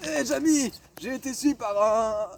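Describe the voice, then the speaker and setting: A man's voice: very high voice, moderate pace, creaky. A young man clowning as a dim-witted sidekick in an amateur comedy sketch, stammering through questions. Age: teens or young adult. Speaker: comic sidekick in a school history video. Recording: homemade video with faint noise.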